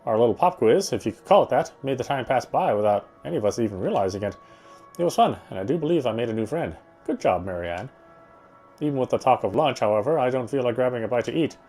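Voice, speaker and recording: A man reads a visual novel aloud while playing it. His voice sits at an average male pitch. Faint music runs behind his narration. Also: lively intonation, quick pace, mellow. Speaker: let's player reading a game aloud.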